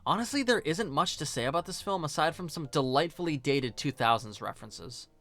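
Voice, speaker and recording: A man's voice: on the high side, animated, fairly quick, fairly bright tone, faint music behind. A man narrating a scripted film review in a casual, joking, sarcastic and colloquial way. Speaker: video host and film reviewer.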